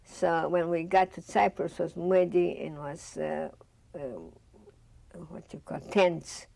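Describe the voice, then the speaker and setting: Older woman, Russian accent, low gravelly voice, storytelling interview, dry close sound. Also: takes it slowly, warm tone, no room sound. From Bessarabia. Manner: reflective storytelling, acts out remembered dialogue. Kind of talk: oral history interview. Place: studio with dark curtain backdrop, seated.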